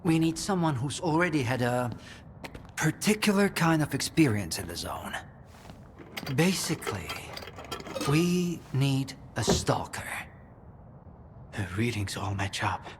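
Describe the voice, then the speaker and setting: An actor playing a composed, calculating and condescending scientist in a video game cutscene. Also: male voice, medium pitch, big pitch swings, a little slow, pauses often, background noise. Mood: awed, deliberate.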